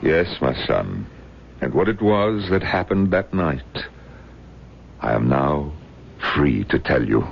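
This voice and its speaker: A grave old rabbi in a vintage radio play, with a very deep, gravelly voice. He speaks slowly and with fatherly solemnity, swooping in pitch. The recording is muffled and noisy. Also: very animated, heavily acted. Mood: solemn, tender. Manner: slow, solemn, measured. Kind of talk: radio drama acting.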